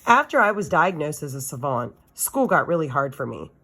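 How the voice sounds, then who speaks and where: A woman with a slightly low voice reads a children's novel aloud. She narrates like a teacher sharing a story with students, giving it expression. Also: very animated, normal speed, sharp tone.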